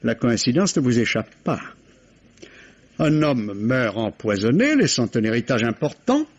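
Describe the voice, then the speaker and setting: An actor playing a formal, insistent police inspector in a French radio mystery, questioning in measured, pointed phrases. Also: male voice, mid-range voice, sing-song, breathy edge, heavily acted. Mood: pointed, suspicious. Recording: dull, muffled old radio recording.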